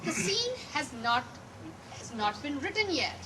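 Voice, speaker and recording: A woman's voice: high-pitched, very animated, a little slow. A woman performing a comedic dramatic reading, theatrical and gushing. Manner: theatrical, gushing, sing-song delivery. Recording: noisy live room recording.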